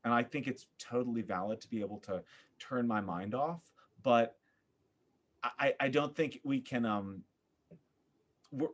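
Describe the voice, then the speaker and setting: A man with a mid-pitched voice talks as a guest in a podcast interview. He is earnest and thoughtful, often pausing and reaching for the right words. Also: a bit bright. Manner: halting, searching for words, earnest. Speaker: podcast guest, theater artist.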